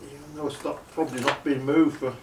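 A man's voice: fairly high pitch, little pitch movement, a little fast, creaky, distant mic, background noise. An older man talks casually to the camera while he works on a car in his home garage, muttering asides about nuts, bolts and spanners. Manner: mutters while working, plain chatty asides. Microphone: camera mic from a distance. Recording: echoey garage from off-mic.

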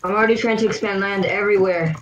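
A woman's voice: medium pitch, fairly even intonation, fast talker, slight background noise. A young woman chatting casually while playing a game with a friend, chatty and self-mocking. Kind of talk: casual gaming chat. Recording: dull, slightly muffled voice chat.

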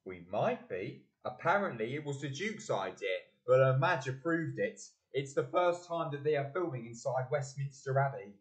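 Casting: man as wry, jocular husband for a radio play, middle pitch. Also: lively intonation, normal speed, few pauses, lightly acted, rough recording. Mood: easygoing. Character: jovial, grumbling, teasing husband. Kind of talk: community audio play acting.

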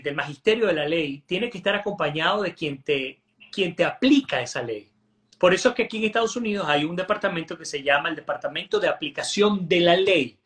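A man in his forties speaks in a high-pitched voice as a political analyst. His talk is emphatic and insistent, with frequent restarts and repeated words, heard through a webcam on a video call from home. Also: fairly bright tone. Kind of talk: animated political commentary. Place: home room indoors.

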